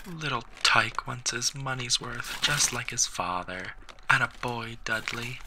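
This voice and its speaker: A man voicing a gruff, blustering suburban father in a storybook reading. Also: mid-range voice, a little slow, background noise, pitched above the performer's own voice, close to an everyday voice. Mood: proud, chuckling.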